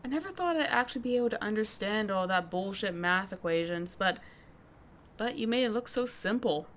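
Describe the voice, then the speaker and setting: A woman playing a tough but kind teenage delinquent schoolgirl in an audio roleplay, talking casually and bluntly to the listener, with swearing and halting, confiding asides. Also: medium pitch, animated, fairly bright tone, a little hiss or hum, natural voice. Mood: sincere, grateful.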